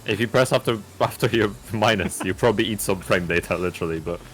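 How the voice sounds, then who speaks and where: A man's voice: on the low side, fast, soft background music, poor audio. A man co-commentating a fighting-game tournament, chatty and rambling and often laughing. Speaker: esports co-commentator.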